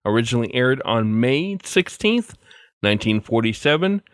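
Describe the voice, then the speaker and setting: A man's voice: mid-range voice, very animated, moderate pace, a bit bright, smooth, no echo, studio quality. A man hosting a podcast, announcing from a script. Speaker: podcast host. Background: music.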